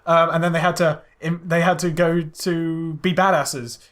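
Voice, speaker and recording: A man's voice: a little high, little pitch movement, moderate pace. A man co-hosting a casual podcast, reviewing a film in a loose, rambling way with many fillers and agreements. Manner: loose, rambling, lots of "yeah" and "um". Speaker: podcast co-host.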